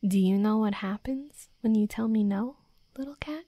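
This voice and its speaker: A woman playing a hot-headed, flustered young female werewolf, a softening bully, in an intimate roleplay audio drama, speaking haltingly and defensively. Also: medium pitch, no echo, muffled recording, lightly acted. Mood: playful, teasing. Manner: halting, defensive, teasing, often dropping to soft murmurs.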